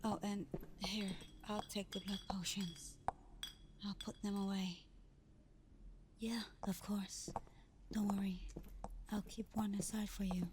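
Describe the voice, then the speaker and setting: Slow, hushed vampire girlfriend, acted by a woman. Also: medium pitch, little pitch movement, noisy background, close to an everyday voice. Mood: soothing, welcoming. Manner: soft, breathy, half-whispered, unhurried. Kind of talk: intimate ASMR roleplay.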